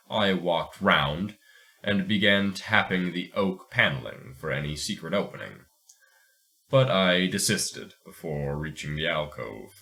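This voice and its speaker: Very low-voiced man, podcast reader. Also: fairly bright tone. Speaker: podcast co-host reading a story aloud. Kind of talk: casual chat mixed with dramatic storybook reading.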